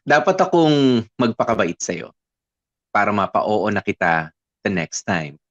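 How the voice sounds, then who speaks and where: A man's voice: lowish voice, muffled recording. A Filipino actor narrating as a wistful young man confessing old courtship frustrations in a radio drama. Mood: tender, reflective. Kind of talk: dramatized first-person story narration.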